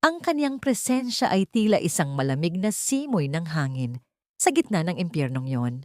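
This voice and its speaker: Dry, low-pitched Filipino woman narrating. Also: sing-song, normal speed, no room sound. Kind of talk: scripted dramatic audio story narration.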